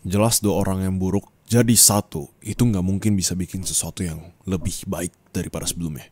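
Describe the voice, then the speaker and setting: A man playing a cold, bitter young ex-boyfriend in an ASMR roleplay, speaking flatly and dismissively. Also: low voice, brisk.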